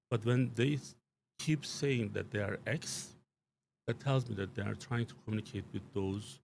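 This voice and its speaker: A man with a low, gravelly voice speaks in a small studio over a faint hiss. He talks in an emphatic, rambling way, restarting his sentences and leaning on fillers. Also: a little mellow. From Turkey. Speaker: show guest commentator. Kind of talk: live studio political talk.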